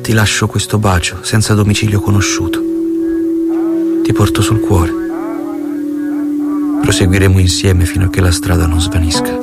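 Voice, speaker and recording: Deep-voiced guerrilla leader, lyrical. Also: male voice, level tone, moderate pace, gravelly, long pauses. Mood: tender, solemn. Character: tender, resolute male guerrilla leader reading farewell letters. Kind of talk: theatrical reading of letters and poetry. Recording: loud guitar music over the voice.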